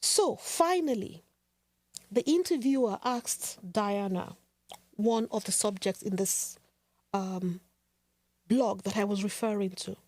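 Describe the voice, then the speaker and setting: Slow, earnest woman podcast host. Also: medium pitch, a little mellow, no echo. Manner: earnest, measured, rhetorical. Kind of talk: reflective podcast monologue.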